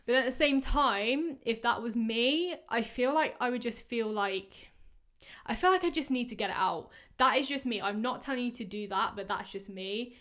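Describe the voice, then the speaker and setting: A woman in her twenties hosting a podcast, giving casual, rambling advice full of fillers, her voice going hoarse. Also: on the high side, moderate pace, fairly bright tone. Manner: chatty, rambling, many fillers. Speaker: podcast host. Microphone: podcast microphone.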